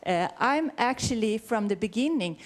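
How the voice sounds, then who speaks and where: A woman's voice: on the high side, animated. A middle-aged woman from Sweden with a Swedish accent, giving an informal conference talk in English as a researcher, often correcting herself as she goes. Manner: hesitant, halting second-language phrasing with self-corrections. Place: conference venue, speaking live to an audience.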